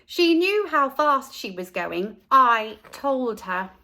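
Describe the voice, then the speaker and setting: A woman's voice: high-pitched, very animated, slow, sharp tone, exaggerated voice. A woman voicing an earnest, blunt and innocent little schoolboy in a storybook, speaking in a matter-of-fact way. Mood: matter-of-fact, proud.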